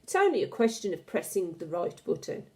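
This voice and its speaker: A woman's voice: on the low side, big pitch swings, fairly quick. A woman voicing a knowing, assured old grandmother in a storybook, explaining with authority. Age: older. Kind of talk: storybook character reading.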